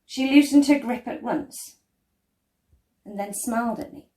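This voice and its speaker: Female storyteller, unhurried and expressive, measured dramatic delivery, mid-range pitch, roomy echo. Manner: measured, deliberate, with dramatic emphasis and pauses. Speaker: storyteller reading a picture book. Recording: roomy, echoey indoor sound.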